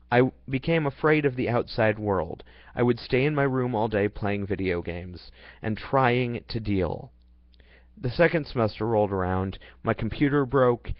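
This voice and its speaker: A man's voice: on the low side, no echo. A young man reading his personal life story aloud in an earnest, plain way, now and then stumbling and restarting. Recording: dull, dry lo-fi recording.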